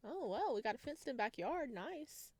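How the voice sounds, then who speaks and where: A woman's voice: sits high, big pitch swings, normal speed, darkish tone, dry recording. A woman doing a let's play, reading visual novel narration aloud and dropping in chatty, casual asides. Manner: chatty asides, reacts to the story. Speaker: video game streamer.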